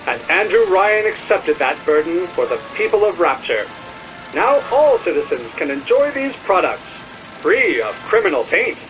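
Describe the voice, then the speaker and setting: A man's voice: high-pitched, poor audio. A man playing a slick, self-righteous 1950s propaganda newsreel announcer in a video game, emphatic and rhetorical. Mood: proud.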